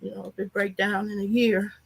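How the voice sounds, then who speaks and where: A hoarse, nasal woman vlogger chatting casually and frankly to her viewers in a loose, rambling way. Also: medium pitch, level tone, fairly quick, low-quality recording. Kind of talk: casual chat to a live audience.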